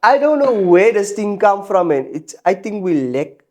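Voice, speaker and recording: South African male musician guest, emphatic podcast talker, slightly higher voice. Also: normal speed. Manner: animated, emphatic, repeats phrases, laughs often. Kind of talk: casual podcast conversation.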